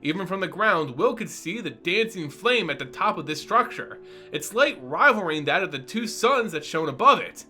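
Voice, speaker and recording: A man's voice: sits high, lively intonation, normal speed, pushed and bright, soft background music. A man narrating a fantasy story as a dramatic reading.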